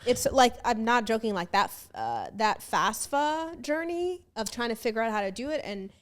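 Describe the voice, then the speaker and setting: A young woman born in Ethiopia and raised in Northern Virginia hosts a relaxed podcast chat. Her voice sits a little high, and she talks in a chatty, open way, laughing easily with her guest. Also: moderate pace. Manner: chatty, laughs easily, talks about being first generation. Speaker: podcast host.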